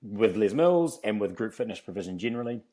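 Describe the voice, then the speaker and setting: A man's voice: fairly low pitch, animated, fast, mellow. A man with a New Zealand accent, telling his story casually and chattily as an interview guest. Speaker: podcast guest, fitness company founder.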